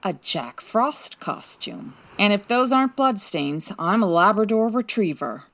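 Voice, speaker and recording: An actress as a sassy 1940s female private eye in a comic radio play. Her voice swings freely in pitch over a gravelly creak, and she tosses out dry, teasing quips. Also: expressive, measured pace, fairly bright tone. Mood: excited, curious. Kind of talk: comedic retro radio drama acting.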